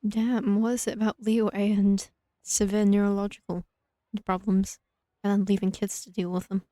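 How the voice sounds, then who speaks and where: A woman's voice: medium pitch, level tone, normal speed, dark tone, no room sound. A woman doing casual let's play commentary with dry, wry asides. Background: game music.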